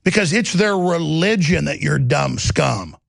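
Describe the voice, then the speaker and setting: Texan guy ranting politics like a talk show host, super gravelly growly voice, forceful and sure of himself, really dry close sound. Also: a little high, expressive, measured pace, no echo. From Texas. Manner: forceful, confident, aggressive; emphatic, repetitive lists and rhetorical questions. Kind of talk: impassioned political commentary monologue.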